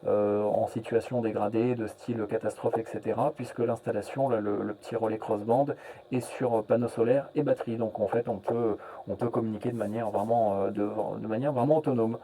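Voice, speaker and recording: A man chatting casually as a radio operator, halting and often repeating his words. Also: lowish voice, steady tone, mellow, low-quality recording. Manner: hesitant, repeats words, trailing "hein" and "voilà". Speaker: amateur radio operator, relay network host. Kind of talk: casual radio contact chat.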